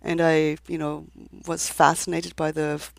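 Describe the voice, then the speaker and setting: A woman author being interviewed about her book, speaking in a thoughtful, measured way with frequent restarts. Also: low voice, little pitch movement, vocal fry, dry recording. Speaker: author and legal scholar, interview guest. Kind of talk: conversational interview.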